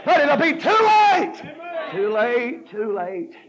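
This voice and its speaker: A man's voice: very high voice, big pitch swings, slow, a crowd in the background. A man preaching a fire-and-brimstone evangelistic sermon, fervent and urgent, repeating and punching his phrases.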